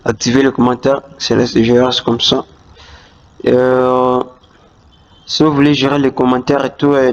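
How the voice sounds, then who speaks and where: A man hosting a screen-recorded tutorial walkthrough, talking hesitantly with many fillers and restarts. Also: medium pitch. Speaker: YouTube tutorial host. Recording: dull lo-fi voice-over.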